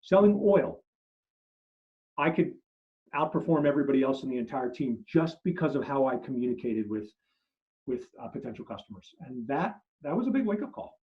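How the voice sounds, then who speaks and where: A middle-aged man whose first language is German, answering interview questions as a marketing expert and telling stories with many restarts, trailing low at the end of phrases. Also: moderate pace. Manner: animated storyteller, many restarts, drops low at phrase ends. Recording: muffled lo-fi audio.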